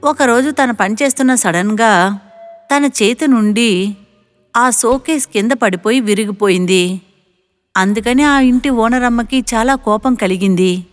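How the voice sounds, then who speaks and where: A woman's voice: fairly high pitch, measured pace, faint music behind. A woman narrating a scripted moral story.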